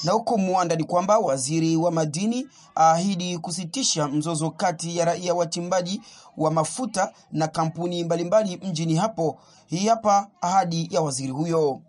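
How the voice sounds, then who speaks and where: A man reading a news bulletin as anchor in an even, formal way. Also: a little high, normal speed.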